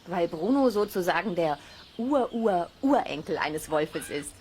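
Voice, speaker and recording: A woman's voice: lowish voice, lively intonation, unhurried, a little mellow, a little hiss or hum. Assured female biologist, faint ambience. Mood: matter-of-fact, assured. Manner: plain, assured explaining. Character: matter-of-fact female wolf biologist. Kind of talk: children's audio play dialogue.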